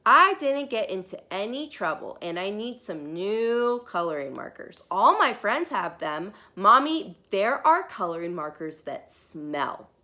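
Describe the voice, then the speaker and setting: A woman's voice: medium pitch, sing-song, a little slow, hardly any pauses, bright, forceful tone. A woman voicing a persuasive, pleading little girl in a storybook, wheedling with emphasis. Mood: insistent pleading.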